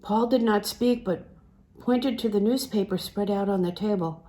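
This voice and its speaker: A woman's voice: medium pitch, moderate pace, mellow. A woman reading a novel aloud as scripted narration. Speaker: audiobook reader.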